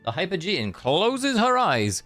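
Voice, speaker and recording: A man's voice: high-pitched, wildly swooping pitch, a little slow, a bit bright, faint music behind. A man streaming a game, reading story text aloud with sarcastic, joking asides.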